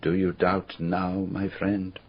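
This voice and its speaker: An actor playing a courteous, probing foreign detective in a radio drama, with formal foreign phrasing and deliberate questions. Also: male voice, sits low, level tone. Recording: dull, muffled radio drama recording.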